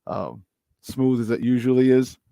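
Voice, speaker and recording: A man hosting a casual morning sports talk show, his voice a little hoarse, repeating words for emphasis and laughing often. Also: medium pitch, a little slow, mellow, no echo, clean studio sound. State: slightly hoarse. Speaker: sports talk radio host.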